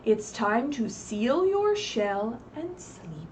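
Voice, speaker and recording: A woman voicing a kindly female bear from a children's storybook, with a rumbling, deliberate delivery. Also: very animated. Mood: gentle, urging. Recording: distant mic, faint background noise.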